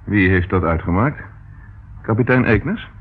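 An actor playing a police inspector in a radio play, probing and teasing but with a tender side. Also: male voice, fairly low pitch, animated, unhurried, breathy edge. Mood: brisk, questioning. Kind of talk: radio play acting in Dutch. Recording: muffled, narrow-band, noisy old radio recording.

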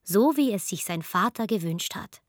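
A woman narrating a comic radio play as a wry, matter-of-fact storyteller with a deadpan manner. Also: medium pitch, moderate pace, dry recording, studio quality, close to an everyday voice. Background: music.

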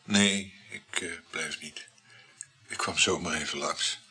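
An actor playing a jealous, sceptical lover in a Dutch radio play, terse, questioning and needling. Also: male voice, sits low, slow, sharp tone, husky, full character voice. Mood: resigned. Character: jealous, sceptical older lover of a married woman.

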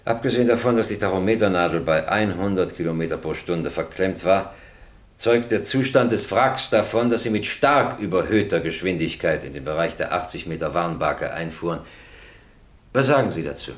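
A stern, echoing courtroom judge. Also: male voice, sits low, lively intonation. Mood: formal, stern. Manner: formal, measured, official. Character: stern, formal male presiding judge. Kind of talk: German radio crime drama acting. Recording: roomy, echoing courtroom sound.

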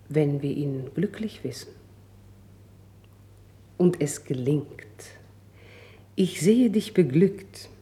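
An actress playing a gentle, solicitous noblewoman in a classical German radio verse drama, in soft, measured, poetic declamation. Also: sits low. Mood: gracious.

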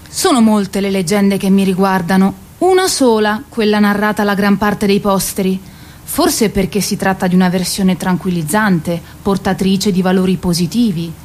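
A woman's voice: medium pitch, darkish tone, close to an everyday voice. Radio drama: actress as weary, proud waiting queen of myth, mid-range voice, theatrical delivery with bitter irony. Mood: ironic. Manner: declamatory, ironic, lingering on repeated words.

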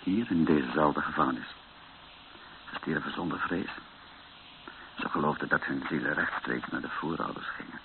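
An actor playing a calm, resigned priest of seventy in a radio drama, speaking quietly and slowly. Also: male voice, rumbling low, vocal fry. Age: 70s. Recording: muffled lo-fi radio recording with hiss.

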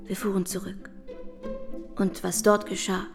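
Radio play: effusive genteel young lady, fry in the voice, music underneath. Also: medium pitch, level tone, a little slow. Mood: effusive, reminiscing. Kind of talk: German radio play acting.

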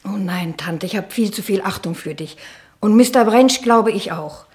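An actress playing a lonely, sheltered middle-aged niece in a 1960 German radio drama, with a hesitant, wistful way of speaking. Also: female voice, medium pitch, moderate pace, a little mellow, natural voice. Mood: pleading, bitter.

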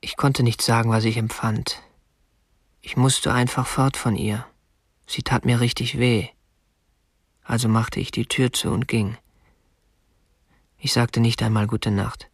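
An actor in a radio play as an awkward, obsessive, prim young kidnapper, speaking and narrating in a stiff, restrained way with slight huskiness. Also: male voice, medium pitch, level tone, a little slow, pauses often, breathy edge, dry recording, studio quality. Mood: brooding, confessional. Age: young adult. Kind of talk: radio drama acting, first-person narration and dialogue.

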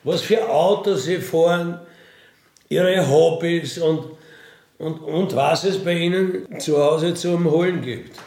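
A middle-aged man with an Austrian accent talks at a slow, deliberate pace. His voice is gravelly with plenty of fry. He sounds matter-of-fact and conversational, often turning to the listener with little tag questions. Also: fairly high pitch, darkish tone. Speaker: ex-convict burglar telling his life. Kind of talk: candid conversational monologue.

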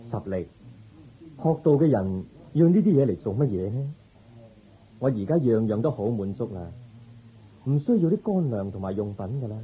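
A man playing a calm, resolute young prince seeking enlightenment in a Cantonese radio drama, speaking in an earnest, measured way. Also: on the low side, phone-quality sound, natural voice. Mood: firm. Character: resolute young prince turned spiritual seeker, calm, compassionate.

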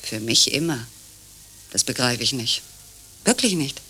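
A suspicious, needling woman in a radio play, with clear noise behind her. She is insistent, her bright voice swinging in animated probing. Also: extremely low pitch, unhurried, full character voice. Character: distrustful, needling visitor pressing for honesty.

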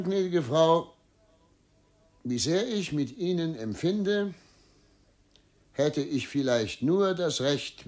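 An actor playing a principled, unbending governor in a radio drama, speaking formally and deliberately in measured phrases. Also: male voice, mid-range voice, a little mellow. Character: dignified, principled, unbending male provincial governor. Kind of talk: German radio drama acting.

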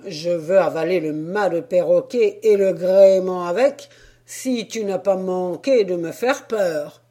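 A woman playing a loud, rowdy male sailor. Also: on the low side, a little slow. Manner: loud, gruff, full of sailor slang. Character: rough, hearty male sailor, a bit tipsy. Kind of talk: storybook character voice.

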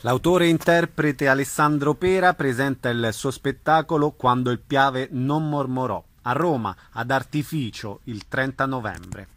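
A man's voice: medium pitch, unhurried, few pauses, a bit bright, dry recording. A man hosting a radio interview programme, putting questions to guests and announcing dates.

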